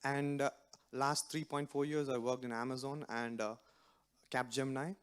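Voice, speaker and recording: A man's voice: medium pitch, flat delivery, normal speed, smooth. A man giving a formal, earnest self-introduction as an incoming student. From India. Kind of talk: short self-introduction at a ceremony.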